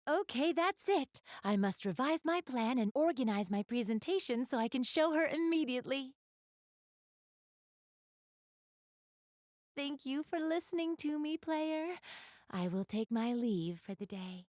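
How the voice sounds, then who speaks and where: A woman's voice: sits high, animated, normal speed, dry recording, clean studio sound. A woman playing an elegant young goddess in an anime video game, speaking to the player with polite, genteel, formal diction and a keen gamer's refinement. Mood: wistful, determined.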